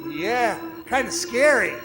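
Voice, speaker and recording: Man acting breezy, lively young mine guide. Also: very high voice, slow pace, over music, heavily acted, rough recording. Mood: excited. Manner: folksy, breezy, coaxing. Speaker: actor and narrator in a radio drama. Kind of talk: old-time radio drama acting, part narration, part dialogue.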